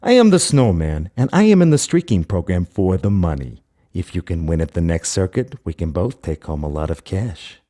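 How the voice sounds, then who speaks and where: The Snowman, mellow, shrewd backer. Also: sits low, big pitch swings, moderate pace. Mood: scheming. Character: the Snowman, shrewd money-minded male racing backer. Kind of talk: scripted video game character dialogue.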